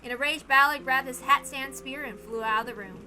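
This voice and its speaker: A woman's voice: high voice, brisk, bright, forceful tone, slight background noise. A woman streamer narrating a visual novel aloud, with casual asides.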